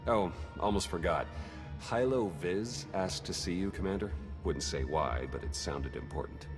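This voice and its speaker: A deep man's voice delivers matter-of-fact reports, worn down and plain. An actor plays a weary spy in a game cutscene, with music behind him. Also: normal speed, lightly acted. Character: weary male spy and ally, practical.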